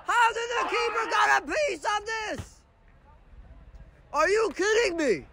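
High, lively man shouting commentary. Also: takes it slowly, gravelly. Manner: animated, shouting at the referee and players. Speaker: sideline commentator and teammate filming. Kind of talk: excited amateur match commentary. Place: indoor sports dome, large. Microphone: phone camera held by the speaker.

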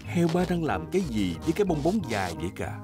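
A man's voice: a little high, sing-song, fast talker. A man acting as the storyteller of a preschool cartoon, reading scripted narration expressively. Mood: storytelling. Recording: music behind the voice.

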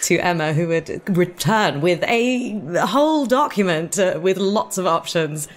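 A woman's voice: on the low side, expressive, measured pace. A woman telling an anecdote in a casual, conversational way. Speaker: drama director. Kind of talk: casual chat, animated anecdote.

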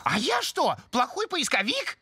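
A woman's voice: sits high, huge pitch swings, measured pace, slightly rough, no room sound, studio quality, heavily acted. A woman voicing a cocky, impulsive young male rabbit in a children's cartoon, brash and boyish. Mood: eager, curious. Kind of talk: educational children's cartoon acting. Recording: loud music behind the voice.

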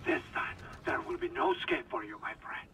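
An actor playing a grandiose, menacing fanatical scientist villain in a video game, with theatrical formal delivery. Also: male voice, fairly quick, sharp tone, slight background noise, lightly acted. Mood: gloating menace.

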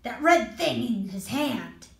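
A woman's voice: on the high side, animated, takes it slowly, vocal fry, a bit bright, recorded from a distance, exaggerated voice. A woman reading a storybook aloud and voicing a timid, puzzled rug monster with a wondering, questioning tone. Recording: roomy echo.

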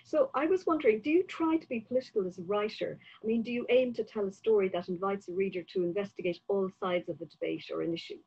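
An older woman with a husky voice, asking prepared questions in a literary interview over a video call, with slightly dull sound. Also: medium pitch, quick pace, few pauses, a little mellow. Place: book-lined room at home, indoors. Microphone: computer mic on a video call.

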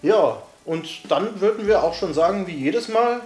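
A man's voice: high voice, lively intonation, measured pace, darkish tone. Young male co-host in echoey room. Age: young adult. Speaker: co-host of a YouTube comedy show. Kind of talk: casual scripted banter. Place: indoor room, on a couch.

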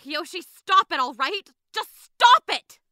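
A woman playing a fierce, blunt young female warrior in an animated drama, with an intense manner. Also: very high-pitched, normal speed, noisy background. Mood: angry. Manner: accusatory, intense.

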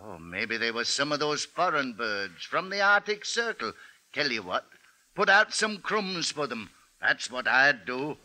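An actor playing a folksy, chatty, skeptical village shopkeeper with an emphatic manner in a 1950s radio drama. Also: male voice, mid-range voice, sing-song, unhurried, bright, forceful tone, heavily acted, low-quality recording. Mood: skeptical, dismissive.

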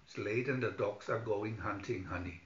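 A man's voice: lowish voice, normal speed, slight background noise, voice pushed down, natural voice. A man voicing a kindly, motherly female owl in a storybook, soothing and caring. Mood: gentle, grave. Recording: dull lo-fi recording, roomy echo.

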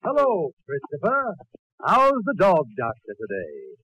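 High, animated handyman uncle. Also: measured pace, husky, no room sound, full character voice, poor audio. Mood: wry. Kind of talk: 1940s radio comedy acting. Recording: old 1940s radio recording.

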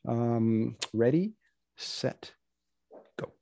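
A man moderates an online event in a creaky voice of middling pitch. He is on a video call, with very little room in the sound. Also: very slow, dark tone, dry recording, clean studio sound. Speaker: host and moderator.

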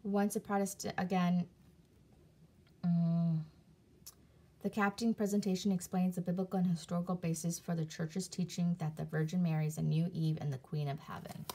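A woman casually showing her CDs and reading their back covers aloud, halting often with fillers and restarts, recorded on a phone camera above a table indoors. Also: on the low side, level tone, warm tone, breathy edge. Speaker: video creator reviewing CDs. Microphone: phone camera filming her hands on a table from above.

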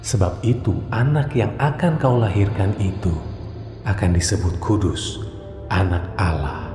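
A man voicing a solemn male angel messenger, speaking in a slow, grave, proclaiming way. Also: deep voice, voice pushed down. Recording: echoey hall reverb with music. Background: music.

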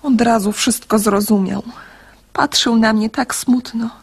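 A woman's voice: fairly high pitch, fairly even intonation, unhurried, vocal fry, breathy edge, exaggerated voice. A woman playing a young lovesick girl in a radio drama, anxious and wistful, confiding her story with emotion. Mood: anguished.

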